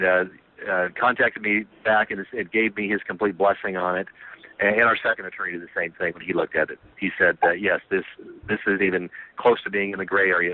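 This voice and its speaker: Man presenting over a tinny phone line. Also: fairly low pitch. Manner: earnest, repetitive, frequent restarts and fillers. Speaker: company leader on a conference call. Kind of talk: business conference call presentation. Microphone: telephone.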